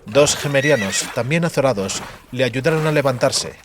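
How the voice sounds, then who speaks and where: Man, audiobook narrator, mid-pitched, animated intonation, dramatic storytelling. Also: moderate pace, noisy background.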